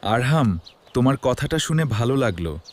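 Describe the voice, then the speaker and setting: Man acting gentle pious dad, children's cartoon, very melodic delivery, simple teaching phrases, slight noise behind. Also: mid-range voice, big pitch swings, normal speed, close to an everyday voice. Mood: kindly, devout. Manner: instructive, kindly, simple phrasing. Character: gentle, pious father teaching his young son. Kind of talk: children's educational cartoon dialogue.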